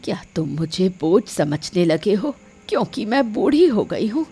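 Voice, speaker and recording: A woman's voice: mid-range voice, very animated, unhurried, mellow, husky, heavily acted. A woman voicing a frail, reproachful old village mother in a cartoon moral story, with a plaintive manner. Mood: hurt, reproachful. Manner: plaintive, guilt-tripping.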